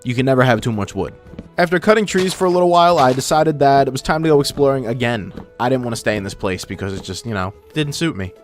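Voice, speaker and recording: A young man narrates a gaming video in a casual, informal voice run through a voice filter. His pitch sits in the middle range, and he is a little self-deprecating. Faint music plays behind him. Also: animated, quick pace. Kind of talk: casual scripted gameplay narration. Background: background music.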